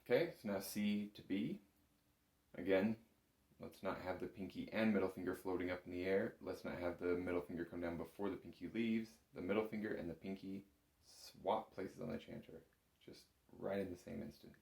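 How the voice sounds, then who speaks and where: A man's voice: low voice, fairly even intonation, fairly quick, gravelly, darkish tone. A man giving a patient, careful step-by-step music lesson as an instructor, recorded in an echoey room. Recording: roomy, echoing room sound.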